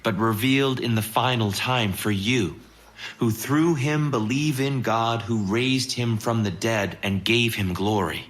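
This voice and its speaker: A man reading an epistle in a solemn, measured, exhorting manner. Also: mid-range voice, fairly bright tone. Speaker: scripture reader.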